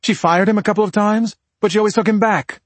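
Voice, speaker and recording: A man narrator plays an overwrought grieving sister in a crime audiobook. The woman sounds defensive and emotional, with pitch lifted well up, recorded dry. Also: steady tone, quick pace, no room sound. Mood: troubled.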